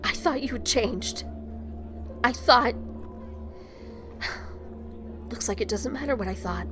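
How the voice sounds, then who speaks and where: A woman's voice: a little high, slow, a bit bright, faint music behind. A woman playing an exasperated, hurt younger sister in an audio drama, scolding and emphatic. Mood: hurt, disappointed.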